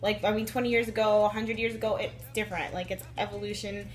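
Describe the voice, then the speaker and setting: A woman's voice: mid-range voice, flat delivery, fast, faint music behind, low-quality recording. A woman giving a thoughtful, casual interview answer, correcting herself as she goes and saying "like" often. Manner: thoughtful, self-correcting, filler like.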